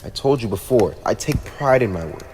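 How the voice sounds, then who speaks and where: A man's voice: mid-range voice, lively intonation, moderate pace, a little mellow, close to an everyday voice. A man playing a smug, teasing, playfully menacing teenage demon prince in an ASMR roleplay, talking straight to the listener in a conversational, mock-formal way. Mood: smug, businesslike. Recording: echoey reverberant room with clear background noise.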